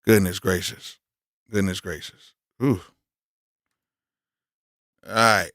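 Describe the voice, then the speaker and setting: A man hosting a live stream, reviewing beats in casual, blunt commentary, hoarse from yelling earlier and full of exclamations. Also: sits low, steady tone, slow, no echo, studio quality. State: hoarse, losing his voice from yelling.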